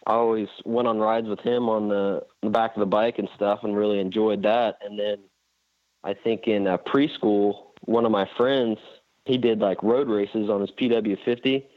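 Young man from Indiana, low-voiced, relaxed and rambling, heard over a tinny phone line, dry sound. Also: dry recording. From Indiana, USA. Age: young adult. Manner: laid-back, rambling with fillers. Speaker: guest rider. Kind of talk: casual phone interview.

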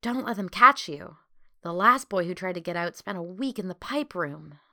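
Woman acting young boy, frightened, cautious, bright tone, storybook character. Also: lowish voice, lively intonation, moderate pace, dry recording, studio quality. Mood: cautious warning. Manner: cautious, hushed warnings.

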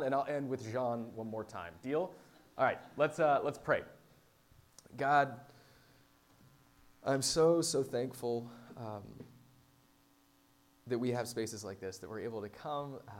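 A man preaching in an informal, conversational style as a pastor, with a self-deprecating, humorous manner. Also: medium pitch. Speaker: pastor preaching to a small church. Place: live talk to a small congregation. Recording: clear background noise with hum.

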